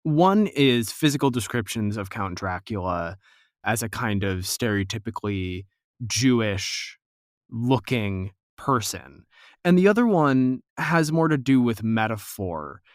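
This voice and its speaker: A man's voice: on the low side, animated, unhurried, dry recording, studio quality. A man hosting a conversational podcast interview, explaining things in an organized way.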